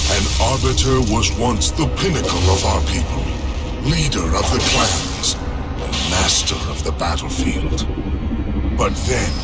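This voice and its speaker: An actor playing a proud, embittered alien warrior in a video game cutscene, recounting history in a solemn, weighty, formal way. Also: male voice, low-pitched.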